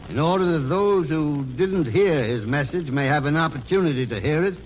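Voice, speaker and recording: A man's voice: fairly high pitch, lively intonation, moderate pace, a little hiss or hum, low-quality recording. A man giving grave, formal, oratorical scripted narration as a radio announcer in a vintage 1940s broadcast. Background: music.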